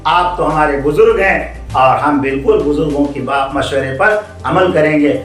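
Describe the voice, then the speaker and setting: A man's voice: fairly high pitch, normal speed, fairly bright tone, reverberant, distant mic, natural voice. A man voicing an agreeable monkey chief who leads his troop, emphatic and questioning in a children's story. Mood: eager, gracious.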